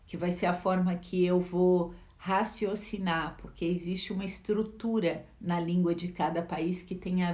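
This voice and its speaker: A woman's voice: fairly low pitch, a little mellow. A middle-aged Brazilian woman, a life educator, gives a reflective teaching talk with a Brazilian Portuguese accent, posing rhetorical questions and adding frequent tag questions. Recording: echoey room.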